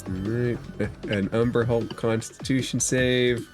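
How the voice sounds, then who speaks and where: A man acting as dungeon master, narrating the scene and giving calm rulings. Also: mid-range voice, unhurried, faint music behind. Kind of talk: running a tabletop game.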